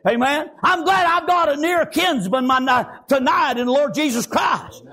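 Male preacher, very high voice, fervent shouting, constant amens. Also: normal speed. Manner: fervent, shouting, with constant "praise God" and "amen". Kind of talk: revival sermon.

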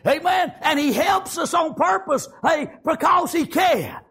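Shouting high-pitched Southern American male preacher. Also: animated, a little slow, gravelly. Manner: exclamatory, peppered with repeated praise interjections; folksy, colloquial. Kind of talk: fervent revivalist sermon.

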